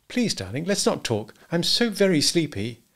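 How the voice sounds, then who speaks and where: Man voicing a sweetly dismissive wife, lifted pitch. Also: big pitch swings, brisk. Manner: soft, sweet, placating. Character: beautiful cool wife, sweetly dismissive, unrepentant, taunting. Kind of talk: dramatised storybook reading.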